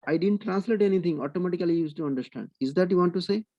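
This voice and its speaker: Fast-talking man teaching a video-call class. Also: high-pitched, no room sound, highs cut off. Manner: mixes Hindi and English, coaxing students to speak. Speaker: Sanskrit teacher. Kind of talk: online class discussion.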